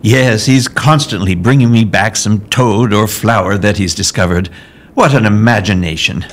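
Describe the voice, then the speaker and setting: A man playing a genial, reassuring Victorian gentleman in a period audio drama, with polite old-fashioned phrasing. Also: lowish voice, moderate pace, lightly acted. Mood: genial, evasive.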